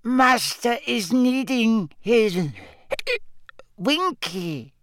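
A man voicing a tiny, drunk, tearful female house-elf who talks in squeaky, slurred, pleading bursts. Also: animated, very slow, fairly bright tone, no room sound, studio quality, exaggerated voice.

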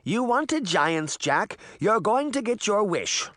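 A man's voice: high-pitched, big pitch swings, takes it slowly, a bit bright, dry recording, studio quality, voice pushed up, exaggerated voice. Man putting on Granny Relda, a stern old grandmother. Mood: grave warning. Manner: composed, stern. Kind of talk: audiobook character voice.

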